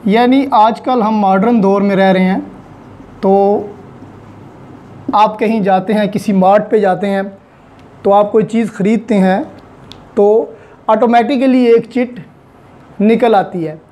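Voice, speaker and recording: A man's voice: high-pitched, steady tone, takes it slowly, long pauses, warm tone, a little hiss or hum. A man with a Pakistani accent giving an explanatory law lecture, posing questions and answering them, with English terms mixed in. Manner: mixes in English terms and phrases.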